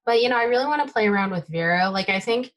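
A young adult woman from the Bay Area, whose first language is Taiwanese, chatting excitedly as a guest developer in an interview. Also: fairly high pitch, racing. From United States (Bay Area). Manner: excitable, filler-heavy, trailing off. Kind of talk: casual tech interview chat.

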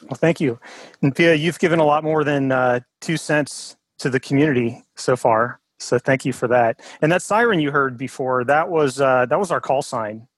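A man's voice: mid-range voice, normal speed, no room sound. A man hosting a podcast in a casual, conversational style. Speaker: podcast host.

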